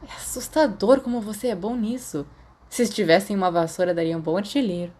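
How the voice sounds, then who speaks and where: A Brazilian woman voicing a cheeky, teasing teenage boy wizard in a story, playful and quick to protest. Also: medium pitch, a little mellow, close to an everyday voice. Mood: casual.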